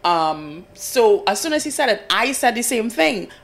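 A woman's voice: a little high, sing-song, a little slow, creaky. A woman co-hosting a breakfast radio show, chatty and laughing often. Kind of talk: casual studio banter. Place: radio studio.

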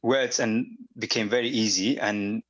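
A man's voice: lowish voice, steady tone, a little slow, a bit bright. A man from Somalia, with Somali as his first language, speaking as an interview guest in a hesitant way full of fillers and restarts. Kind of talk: conversational interview. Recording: dull lo-fi audio.

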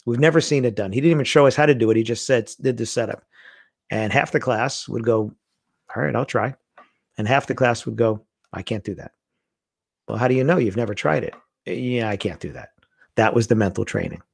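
A man's voice: medium pitch, fairly quick, vocal fry, husky, no echo, studio quality. A middle-aged man telling stories in a casual conversation, acting out the lines he quotes. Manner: animated storyteller, acts out quoted lines. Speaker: guest.

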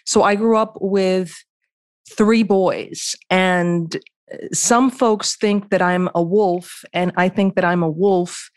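Slow, blunt New woman. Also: lowish voice, fairly even intonation, no echo, clean studio sound. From the Bronx, New York. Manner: blunt, candid, plainspoken. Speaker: guest, history professor. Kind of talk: casual interview talk. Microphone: remote call.

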